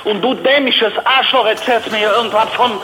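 Man as a short-tempered roofer, high-pitched, blunt, sarcastic, swearing, comic phone call, clearly noisy recording. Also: steady tone, fairly quick, close to an everyday voice. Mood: sarcastic, scornful. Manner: blunt, sarcastic, insulting, impatient. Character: gruff, irascible, foul-mouthed male master roofer.

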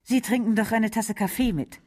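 A woman's voice: fairly high pitch, moderate pace, a little mellow, close to an everyday voice. A woman playing a coarse, playful doctor's wife and mother in a radio drama, gushing, teasing and bossy. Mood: pushy hospitality.

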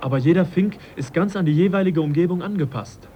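An actor playing a modest, sceptical young English naturalist in a German radio drama, speaking in a reflective, politely questioning way. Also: male voice, high-pitched, fast, dark tone, natural voice. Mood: thoughtful. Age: young adult. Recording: dull, faintly noisy radio drama recording.

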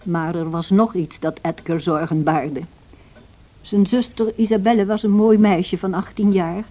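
A sensible housekeeper in an old radio drama. Her voice is fairly low and very mellow, a little husky. She tells things in a measured, motherly way with gentle reproof. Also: fairly even intonation, muffled recording. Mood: recounting. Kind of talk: old radio play narration and dialogue.

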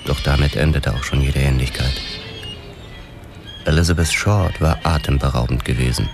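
A man narrating a radio drama in the first person, in a measured, hard-boiled way, with faint music underneath. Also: a bass voice.

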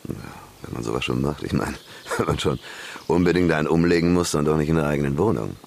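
An actor playing a slick, self-assured red-light boss in a German radio drama, jovial and expansive with menace underneath. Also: male voice, a bass voice, fast, creaky, darkish tone, full character voice. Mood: amused, dismissive.

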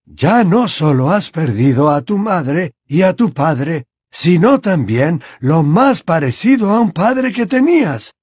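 Casting: very old wizard headmaster, put on by a male narrator, breathy, unhurried, gently insistent. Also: fairly high pitch, expressive, no echo, studio quality, pitched above the performer's own voice, heavily acted. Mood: serene. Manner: slow, serene, gently insistent. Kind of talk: audiobook character voice.

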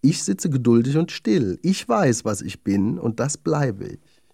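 A proud little lady of a sewing needle from a children's fairy tale, acted out by a male reader. The delivery is self-satisfied and genteel, moving freely up and down in pitch. The tone is mellow, with some creak at the edges. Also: sing-song, a little slow, no echo, clean studio sound, heavily acted. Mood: superior. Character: vain, haughty young lady darning needle.

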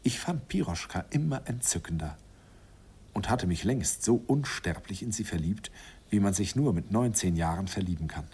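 A man's voice: deep voice, animated, moderate pace, darkish tone, breathy edge, heavily acted. An actor narrating a radio play as a middle-aged man fondly recalling his first love, in a wistful, reminiscing way.

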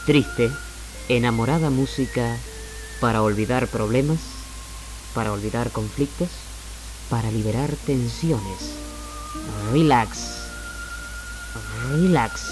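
A man presenting scripted, literary radio narration in a measured manner, in the style of the 1960s. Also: medium pitch, rough recording. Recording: archived 1960s AM radio recording. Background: music.

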